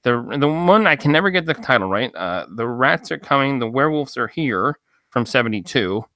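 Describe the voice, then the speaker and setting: A man's voice: mid-range voice, expressive, brisk, sharp tone, no room sound. A man from New Jersey hosting a podcast, rambling in loose casual chat with lots of fillers and swearing. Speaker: podcast host.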